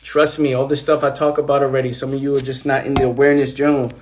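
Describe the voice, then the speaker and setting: A man hosting a casual, animated motivational talk on a phone livestream. He repeats himself often and swears casually. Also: medium pitch, fast, a little hiss or hum. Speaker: host of an Instagram live.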